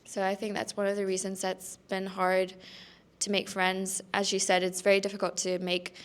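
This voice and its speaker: A woman's voice: medium pitch, monotone, normal speed. A young woman speaking thoughtfully in a casual discussion on a student panel. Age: young adult.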